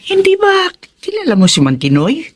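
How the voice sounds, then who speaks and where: An excitable older Filipino mother in a radio drama. Her pitch swings widely as she talks. She is caring, and the sound is close and dry. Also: moderate pace, no echo. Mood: friendly, practical.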